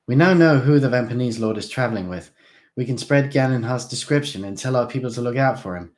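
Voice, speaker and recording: A man's voice: medium pitch, animated, normal speed, voice pushed up, close to an everyday voice. A man doing a bold, defiant young hero. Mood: upbeat. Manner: forceful, accusing.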